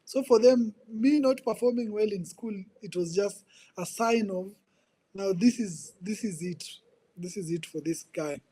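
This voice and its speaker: A man in his twenties with a Kenyan accent, telling his life story as a podcast guest in an earnest, halting way, often repeating words. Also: high voice, dark tone. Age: 20s. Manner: earnest, repeats words while searching for them. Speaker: guest, ministry coach. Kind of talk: personal testimony in conversation.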